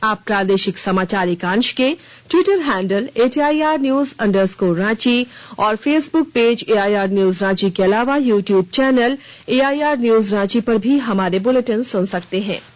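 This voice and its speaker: A woman reading a scripted regional radio news bulletin in a formal, measured manner. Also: fairly high pitch, no room sound. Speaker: news reader. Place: dry radio studio. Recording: dull, band-limited radio broadcast.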